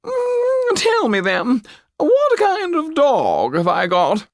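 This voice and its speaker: A man's voice: very high-pitched, wildly swooping pitch, takes it slowly, no room sound, clean studio sound, pitched above the performer's own voice, full character voice. A man voicing a genteel aristocratic lady with affected, cooing speech. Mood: coy.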